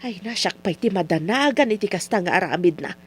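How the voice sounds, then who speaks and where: A woman's voice: medium pitch, lively intonation, fairly quick, husky. A Filipino woman with an Ilocano accent acting as a worried, scolding mother in a radio drama, with hum behind her. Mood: worried, nagging.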